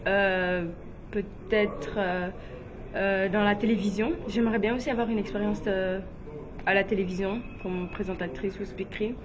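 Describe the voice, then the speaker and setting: A young woman from Guinea telling personal stories in a relaxed, chatty way, with frequent fillers and light laughs. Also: mid-range voice, moderate pace, a crowd in the background. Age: young adult. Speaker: interviewee, beauty pageant runner-up.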